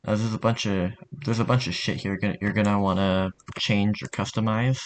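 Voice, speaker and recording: Tutorial host: man, lowish voice, casual and halting delivery, slightly noisy desk recording with typing behind. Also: steady tone. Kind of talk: casual software tutorial narration.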